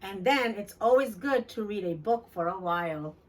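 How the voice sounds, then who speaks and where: A woman reading a children's storybook aloud in an expressive, deliberate storytelling manner for young listeners. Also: mid-range voice, recorded from a distance. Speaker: children's book read-aloud host. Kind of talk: scripted storybook narration for children.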